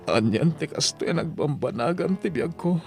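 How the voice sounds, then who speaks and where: A man's voice: fairly high pitch, lively intonation, normal speed, a little mellow. A man playing a regretful, humble estranged father in a radio drama, with an Ilocano accent. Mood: regretful, pleading. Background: music bed.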